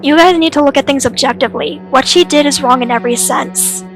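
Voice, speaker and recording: A woman's voice: sits high, fast, soft background music. A woman voicing a cold, uncompromising young student leader in a drama, argumentative and stern. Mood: cold, authoritative.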